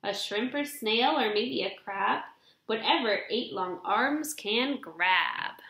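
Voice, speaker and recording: A young adult woman reading a children's picture book aloud in an expressive, deliberate storybook delivery, recorded in a room at home. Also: on the high side, vocal fry. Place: small indoor room at home. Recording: roomy, echoing home webcam recording.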